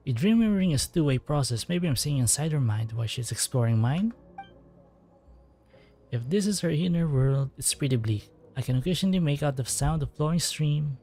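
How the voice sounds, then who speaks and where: A man's voice: medium pitch, a little mellow. A man casually commenting aloud while he plays through a game, musing and joking. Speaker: gameplay streamer.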